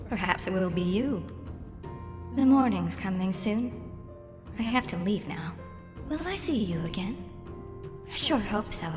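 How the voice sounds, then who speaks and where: A gentle, cryptic fantasy storyteller acted by a woman welcomes the listener in a theatrical trailer style. Her voice has a medium pitch and rings in a long hall reverb, with music playing clearly underneath. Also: natural voice. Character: mysterious, welcoming female storyteller awaiting a young adventurer. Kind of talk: scripted fantasy podcast trailer monologue.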